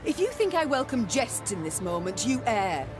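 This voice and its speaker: A stern queen from a fantasy video game. She speaks in formal, archaic phrasing with imperious command. Music plays clearly behind her. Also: on the high side, sing-song, normal speed. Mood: stern, accusing. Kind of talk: scripted video game voice acting.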